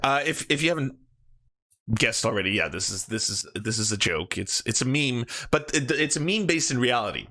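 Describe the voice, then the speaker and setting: Bright-voiced Canadian man mocking the news. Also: medium pitch, big pitch swings, normal speed. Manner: mocking, puts on silly voices when quoting. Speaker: comedy podcast host. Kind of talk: comedic political commentary, reading aloud.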